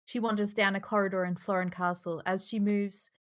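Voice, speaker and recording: A woman narrates a screenplay, reading scene and camera directions aloud in a live group read. Her voice sits at a middle pitch. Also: level tone, fairly quick.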